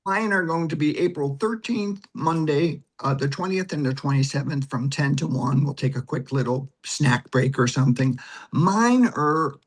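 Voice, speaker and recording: A man's voice: a little high, lively intonation, moderate pace, low-quality recording. A man speaking conversationally in an interview as an acting teacher and director, with an emphatic, instructive manner that leans on repeated phrases.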